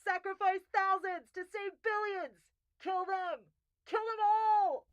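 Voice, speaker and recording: Audiobook protester played by a woman: very high, slow, strident shouting, furious, slightly dull sound. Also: steady tone, dry recording. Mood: furious chanting. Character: angry protester crowd shouting for deaths.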